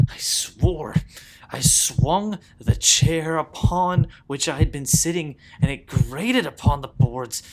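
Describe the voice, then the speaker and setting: A man playing a deranged, paranoid murderer in a theatrical, halting dramatic reading of gothic horror. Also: medium pitch. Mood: frantic, anguished. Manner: theatrical, halting, swinging between hushed and loud. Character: deranged murderer narrating, outwardly smug, growing frantic with paranoia. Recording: clear background noise with clicks.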